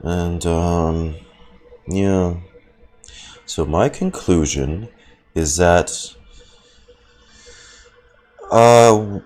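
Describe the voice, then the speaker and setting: A man's voice: deep voice, drawn-out words, pauses often, a little mellow. A man streaming a game with casual, playful, sweary commentary, sometimes reading dialogue aloud. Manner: profane, playful. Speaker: gaming streamer. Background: faint game music.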